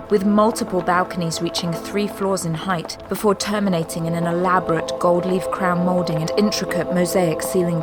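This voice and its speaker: A woman giving measured, descriptive scripted narration for an audio drama. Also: fairly low pitch. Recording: loud music bed behind voice.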